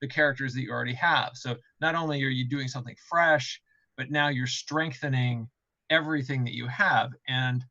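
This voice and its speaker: Man, bright tense voice, casual panel talk, explanatory, thinking aloud, lo-fi dull recording. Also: medium pitch, steady tone, normal speed, dry recording. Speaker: game developer on a panel.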